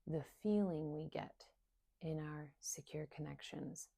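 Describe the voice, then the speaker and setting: A woman leading a guided meditation in a soft, soothing, unhurried way, leaving long pauses between short phrases. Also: low-pitched, creaky, no echo, clean studio sound. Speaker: meditation guide and somatic coach.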